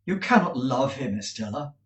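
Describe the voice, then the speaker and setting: An earnest young man, read by a male narrator, pleads with anxious devotion. The voice is lively and a little raised. A roomy echo hangs on every line. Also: mid-range voice, normal speed, distant mic, lightly acted. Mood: pleading.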